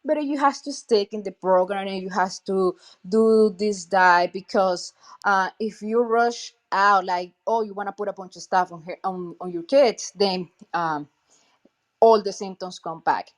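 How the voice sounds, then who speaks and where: A woman's voice: medium pitch, measured pace. A woman from Colombia with a Colombian accent, a native Spanish speaker, earnestly sharing her experience on an online audio call, with many fillers and restarts. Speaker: client sharing a testimonial. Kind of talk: casual spoken testimonial.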